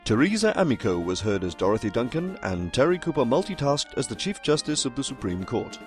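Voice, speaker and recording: An actor playing a composed, dryly wry announcer in a scripted audio comedy. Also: male voice, mid-range voice, big pitch swings, fairly quick, soft background music, lightly acted. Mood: formal announcing. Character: wry, unflappable male radio-show announcer.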